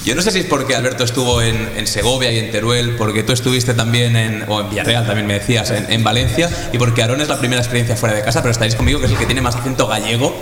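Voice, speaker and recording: A man hosting a casual chat with guests at a table, quick and teasing. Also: mid-range voice, fairly even intonation, a bit bright. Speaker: host. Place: Galician cultural centre, table with guests. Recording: roomy reverberant room with crowd chatter.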